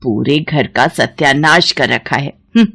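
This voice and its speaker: A woman voicing a scornful, bullying old Indian mother-in-law in a cartoon melodrama, scolding with drawn-out, dramatic calls. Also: very deep voice, creaky, a bit bright, slightly rough, exaggerated voice. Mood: angry, disgusted. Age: older.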